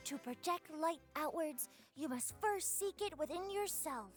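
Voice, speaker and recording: A woman's voice: high voice, lively intonation, a little slow, soft background music, voice pushed up. A woman voicing a tiny, prim, preachy pixie guide from a visual novel, pompous and theatrical. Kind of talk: visual novel character voice acting.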